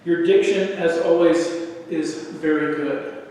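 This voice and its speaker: Tenor guy giving a speech evaluation, calm and encouraging, long hall reverb, mic far away. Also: fairly high pitch. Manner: measured, encouraging. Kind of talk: spoken feedback.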